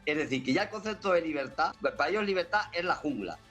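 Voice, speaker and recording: A man's voice: a little high, lively intonation, quick pace, fairly bright tone, soft background music. A man making an emphatic, argumentative political commentary.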